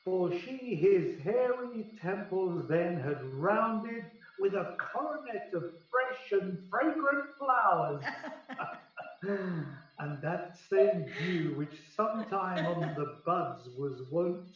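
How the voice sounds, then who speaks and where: Man acting lordly fairy king, lightish pitch, declamatory verse, radio play, heavily echoing and distant sound. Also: animated, a bit bright, slight background noise, heavily acted. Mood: lordly, pitying. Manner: stately, measured, declamatory. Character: Oberon, lordly fairy king, scheming yet softening. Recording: very echoey, distant, dull sound.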